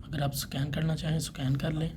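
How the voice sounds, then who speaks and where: Man narrating tech tutorial over clear background noise. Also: on the high side, little pitch movement, fairly quick. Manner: informal, talking through each step while doing it. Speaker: tutorial presenter. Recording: clearly noisy background ambience.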